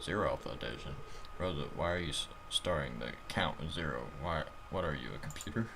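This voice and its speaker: A man's voice: a bass voice, brisk, creaky, background noise. A man voicing a bewildered, anxious young man turned cat in a comic game, questioning and flustered. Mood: puzzled, flustered.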